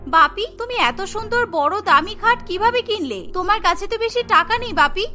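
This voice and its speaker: A caring young bride-to-be from a cartoon, voiced by a woman, speaks in a very high, sharp voice. She sounds worried and earnest, questioning with gentle reproach. Music plays faintly behind her. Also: lively intonation, normal speed, full character voice. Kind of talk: Bengali cartoon acting.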